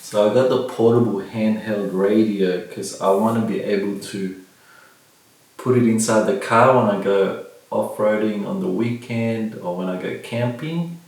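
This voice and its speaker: A man's voice: fairly low pitch, unhurried, a little mellow. A middle-aged man giving a casual unboxing commentary in a vlogger's style. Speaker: vlogger reviewing gear. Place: indoor room with bare walls, at a table. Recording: very echoey room, distant mic, faint noise.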